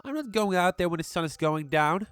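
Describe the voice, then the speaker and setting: A woman acts out a bratty village boy from a horror story, somebody's older brother. The delivery is emphatic and highly animated, recorded dry with faint music underneath. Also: a little fast, dry recording, natural voice. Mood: scared.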